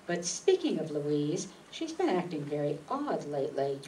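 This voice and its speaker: A haughty elderly social secretary, played by a woman in a staged radio play. She scolds formally in a lowish, very animated voice. The mic sits a little far off. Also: normal speed. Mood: stern, haughty. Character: stern elderly spinster social secretary, proud and proper. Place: meeting room stage before an audience. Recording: distant mic, faint room noise.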